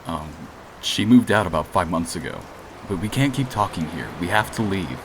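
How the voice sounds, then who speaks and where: A fairly deep young man's voice from an actor, playing a protective, steady ex-boyfriend with some remorse. The lines are radio drama dialogue. There is clear noise behind the voice. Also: moderate pace. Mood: urging.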